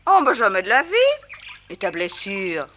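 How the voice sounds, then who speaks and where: A woman's voice: medium pitch, wildly swooping pitch, normal speed, background noise. An actress playing a chatty young woman journalist, cheerful, affectionate and teasing, in a vintage radio drama. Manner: vivacious, teasing.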